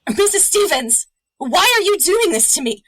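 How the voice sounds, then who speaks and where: A terrified young female captive in a radio play. The voice is extremely high and swings wildly. She stammers and pleads desperately. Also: big pitch swings, normal speed, fairly bright tone, full character voice. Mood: terrified pleading. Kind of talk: scripted audio drama acting.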